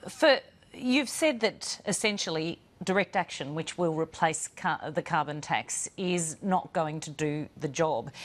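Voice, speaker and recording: Woman news interviewer, low voice. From Australia. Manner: probing, measured.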